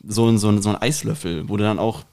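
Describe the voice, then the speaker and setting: Ein Mann, der als Podcast-Host locker, abschweifend und selbstironisch plaudert. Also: male voice, fairly low pitch, steady tone, fast, creaky, a little mellow. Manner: rambling, digressive, self-deprecating. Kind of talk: casual podcast chat.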